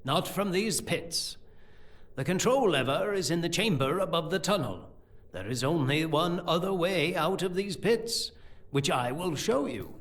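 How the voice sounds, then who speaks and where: An actor playing a scheming, sardonic court priest in an audio drama, measured, theatrical and condescending. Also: male voice, a little high, expressive. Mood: cool, calculating.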